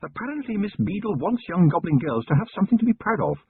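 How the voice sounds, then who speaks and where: Man doing a poised upper-class wife with lively, lilting speech, husky voice, thin phone-like sound. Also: a little high, a little fast, a little hiss or hum, voice pushed up. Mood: quiet. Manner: poised, gently bossy. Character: refined aristocratic wife, gently commanding woman.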